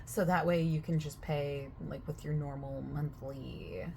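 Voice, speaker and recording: A young woman in a soft-spoken ASMR roleplay as a gym staff member, chatty and over-explaining with lots of fillers. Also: sits low, fairly even intonation, a little slow, creaky, a little hiss or hum.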